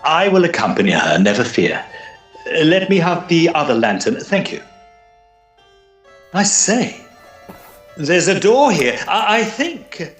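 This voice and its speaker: A man playing an easily flustered Edwardian gentleman ghost hunter, earnest and old-fashioned, in a tongue-in-cheek audio drama. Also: fairly high pitch, sing-song, slow pace, long pauses, breathy edge, soft background music, full character voice. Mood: flustered, puzzled.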